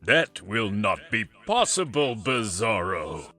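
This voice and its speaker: A man voicing a pompous, greedy alien villain in golden armor for a children's audiobook, deliberate and imperious. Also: on the low side, sing-song, pushed and bright, soft background music, pitched below the performer's own voice, full character voice. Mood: cold, calculating.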